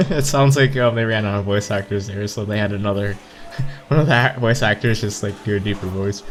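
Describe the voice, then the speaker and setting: A man's voice: fairly low pitch, brisk. A man reading a visual novel aloud casually, playfully stumbling over words and adding side comments. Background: visual novel background music.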